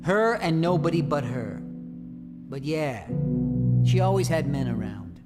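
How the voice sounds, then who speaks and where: A man's voice: on the high side, big pitch swings, slow, heavily acted. A male actor playing a jealous, lovesick murder suspect in a noir audio drama, wounded and defiant, talking in streetwise slang. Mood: bitter, defiant. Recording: long reverb, clear music underneath.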